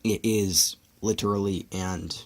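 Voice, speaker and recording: Hoarse man straining through a lost voice. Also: sits low, steady tone, measured pace, darkish tone. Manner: brief, strained replies. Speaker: co-host and player. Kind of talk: casual chat.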